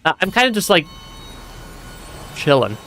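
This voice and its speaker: A man streaming a video game, chatting casually and laughing often, stammering and repeating himself, with his voice going hoarse and cutting out. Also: on the high side, very animated, normal speed, bright, forceful tone. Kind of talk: casual gameplay commentary. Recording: music playing behind.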